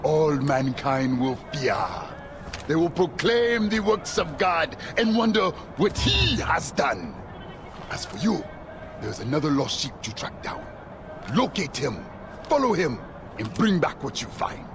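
Sin-haunted preacher, high-pitched, unhurried, grandiose, fervent, video game cutscene. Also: male voice, sing-song. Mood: grand, solemn. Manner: fervent, grandiose, quoting scripture like a sermon. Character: zealous preacher, former arms dealer haunted by sin. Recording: game audio with clear outdoor ambience noise.

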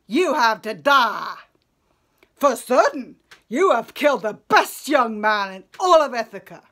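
Woman acting an indignant, loud young nobleman. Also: fairly high pitch, big pitch swings, measured pace, full character voice. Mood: outraged, threatening. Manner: indignant, declamatory. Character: angry young male suitor shouting threats.

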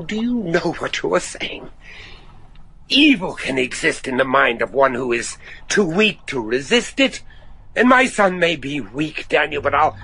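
An actor playing an older, devout judge and anguished father in a gothic horror radio drama, speaking gravely and haltingly with theatrical weight. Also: a little high, pushed and bright, heavily acted. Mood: troubled, resolute. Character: anguished, devout judge and father seeking his lost son.

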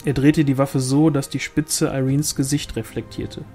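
A man's voice: medium pitch, level tone, moderate pace, dark tone, faint music behind. A man reading a fantasy novel aloud as scripted literary narration. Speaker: audiobook reader.

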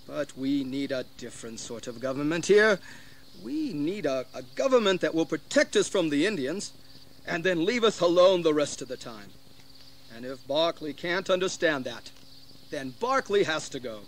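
Actor as animated, scheming colonial frontiersman. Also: fairly high pitch, a little hiss or hum, natural voice. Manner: insinuating, persuasive, theatrical. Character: shrewd, scheming colonial frontiersman urging rebellion. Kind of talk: dramatized history audio acting.

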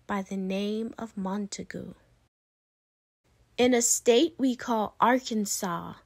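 A woman hosting a children's read-aloud, narrating rhyming storybook lines in an expressive, deliberate and emphatic way for young listeners. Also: on the high side, dry recording.

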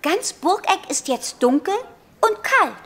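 A woman's voice: very high-pitched, big pitch swings, a little slow, a bit bright, full character voice. A woman playing an eager little witch girl in a children's audio drama, earnest and decisive.

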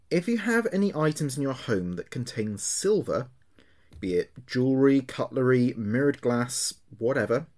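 An actor playing an uneasy, earnest late-night local radio DJ in a horror audio drama, speaking haltingly. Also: male voice, medium pitch, a little mellow. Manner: halting, hesitant, addressing listeners directly.

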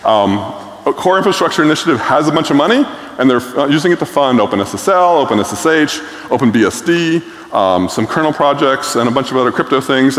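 A middle-aged man giving a conference keynote on stage, blunt and enthusiastic, with frequent filler words. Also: medium pitch. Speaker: keynote speaker. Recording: echoey hall reverb.

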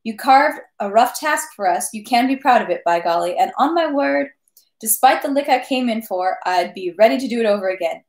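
A jolly, swaggering French officer from a storybook, chattering on and on about his war wounds with cheerful pride, as a woman puts him on. The lines bounce up in pitch as he gets carried away. The reading sits in a roomy, echoing space. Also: a little fast. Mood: boastful. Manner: lively, talks ceaselessly, good-natured bragging. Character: merry boastful French army captain, man. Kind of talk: audiobook character reading.

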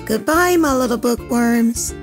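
A woman reading a children's picture book aloud in an expressive, deliberate, sing-song way for young listeners. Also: on the high side, music in the background. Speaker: storybook reader and host of a read-aloud channel.

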